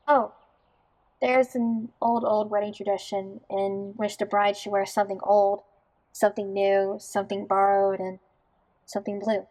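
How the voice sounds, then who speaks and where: A woman playing a down-to-earth, warm-hearted young bride in an audio drama, natural and wry in delivery. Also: medium pitch, level tone, moderate pace, natural voice, poor audio. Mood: curious, explaining.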